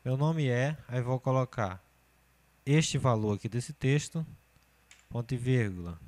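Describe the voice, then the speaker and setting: A man in his thirties walks through a spreadsheet lesson at a steady, methodical pace, stopping often to check that the viewer is following. His voice sits at an ordinary middle pitch, and keyboard typing can be heard under it. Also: a little slow. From Brazil. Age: 30s. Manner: steady step-by-step explaining, often checking with "certo?". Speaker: computer course teacher. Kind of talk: screencast spreadsheet tutorial.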